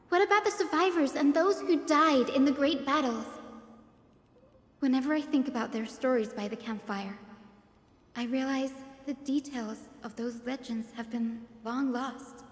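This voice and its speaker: A woman voicing a gentle, earnest young assistant of sky-folk descent in a game story, speaking softly and sincerely. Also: high voice. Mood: wistful. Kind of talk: voiced game story dialogue. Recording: echoey hall-like reverb.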